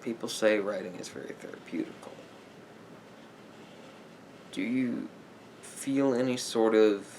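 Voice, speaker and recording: A man playing a sympathetic therapist in an ASMR roleplay, giving gentle advice in a halting, repetitive, hedging way. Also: mid-range voice, vocal fry, a little hiss or hum, rough recording. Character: calm, sympathetic male therapist counselling a client.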